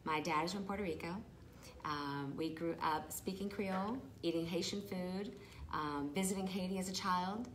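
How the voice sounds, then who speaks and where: A woman's voice: on the low side, moderate pace, a little hiss or hum, rough recording. A middle-aged woman from Brooklyn, a guest chatting casually and laughing often in an interview. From Brooklyn, New York. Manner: lively, laughs often, talks over the host.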